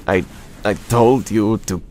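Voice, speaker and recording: A man playing a reserved warrior at bedtime in an ASMR roleplay, speaking in a hesitant, halting, awkward way. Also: on the low side, fairly even intonation, a little mellow, a little hiss or hum. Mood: drowsy, casual.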